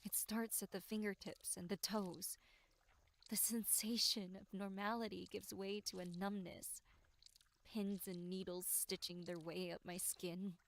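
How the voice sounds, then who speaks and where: A woman voicing a tormented, despairing victim in a horror game monologue, with breathy delivery that slips into whispers. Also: mid-range voice, level tone, unhurried, fairly bright tone, slight background noise. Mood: agonized dread. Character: tormented, despairing young victim musing bleakly on existence. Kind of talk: dramatic first-person horror game monologue.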